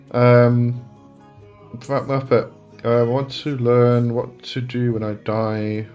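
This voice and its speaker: A man's voice: on the low side, a little mellow, muffled recording. A man in his forties with an English accent, hosting a casual live chat and answering viewers' questions, rambling and correcting himself as he goes. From England. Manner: rambling, self-correcting, chuckles often.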